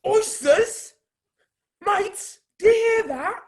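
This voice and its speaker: A woman playing a rowdy, suspicious pirate crewman in a radio play, indignant and shouting. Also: extremely high pitch, expressive, drawn-out words, exaggerated voice. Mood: outraged.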